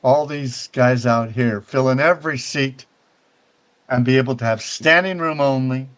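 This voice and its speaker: An older man hosting a relaxed talk show, wandering from point to point in an easygoing, rambling way. His pitch sits in an ordinary middle range, and he keeps checking in with his listeners. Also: unhurried. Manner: rambling, conversational, often checks in with "right?". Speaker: show host. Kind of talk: casual virtual-world tour and chat.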